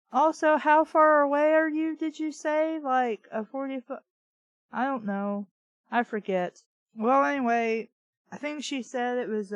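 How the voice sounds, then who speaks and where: A woman's voice: sits high, no echo. A woman reading a story aloud and breaking in with blunt, exasperated, sarcastic commentary full of swearing. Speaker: host of a bad-fiction reading show.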